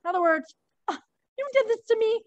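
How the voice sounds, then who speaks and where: A woman teacher leading a class read-through of a play, prompting readers with animated, informal asides and reading stage directions. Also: very high voice, brisk, no echo, lo-fi sound.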